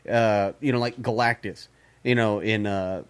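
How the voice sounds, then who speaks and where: A man's voice: on the low side, steady tone, unhurried, clean tone. Rambling, profane man in casual chat. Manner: rambling, profane, many restarts. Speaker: podcast co-host.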